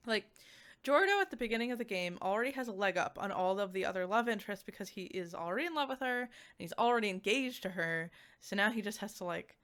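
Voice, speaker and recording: Chatty, animated woman reviewer. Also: mid-range voice, fast talker. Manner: animated, conversational, frequent "like" asides. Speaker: visual novel reviewer. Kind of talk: casual review chat to viewers.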